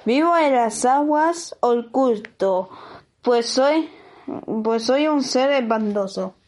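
Young-sounding reader as slow, boastful lake monster. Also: female voice, on the high side, lively intonation, darkish tone. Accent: Argentine. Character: swamp-dwelling hideous lake monster, boastful, lovelorn many-headed serpent. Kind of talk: storybook reading for radio.

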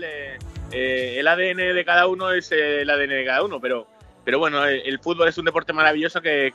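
A man's voice: fairly high pitch, bright, forceful tone, dull audio. A man from Spain with a Madrid accent, a journalist guest answering interview questions hesitantly, with restarts and fillers. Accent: Madrid Spanish. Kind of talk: casual interview.

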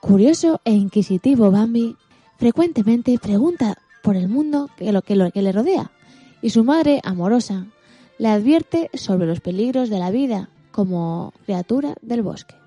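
Mellow woman narrator over music. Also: a little high, animated, normal speed, breathy edge, no room sound. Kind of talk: scripted story narration.

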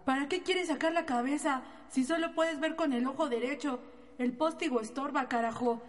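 A woman acts out a foul-mouthed, mocking Mexican inmate in a radio drama. The high voice is coarse and bitter, ringing through a long reverb. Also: normal speed, close to an everyday voice.

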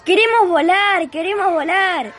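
A woman acts a small, eager great-grandchild in a children's radio play. The voice is extremely high and excitable, with music playing clearly behind it. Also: unhurried.

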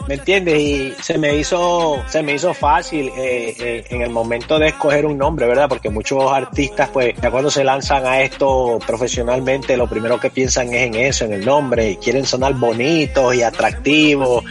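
A man from New York City talks as a guest artist in a casual interview. He is slangy and emphatic, often repeating phrases, in a mid-range pitch over faint music. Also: fairly even intonation, moderate pace, hardly any pauses. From New York City, United States.

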